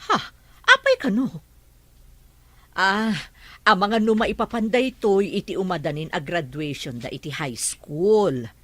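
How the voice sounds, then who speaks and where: A woman's voice: mid-range voice, lively intonation, a little slow, long pauses, fairly bright tone, no room sound. A woman playing a worried, easily alarmed mother in a drama, with a Filipino accent. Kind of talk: Ilocano radio drama acting.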